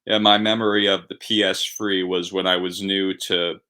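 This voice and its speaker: A man's voice: low voice, flat delivery, normal speed, pushed and bright. A man on a gaming podcast telling a rambling story with self-deprecating humor. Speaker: podcast co-host. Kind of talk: casual chat.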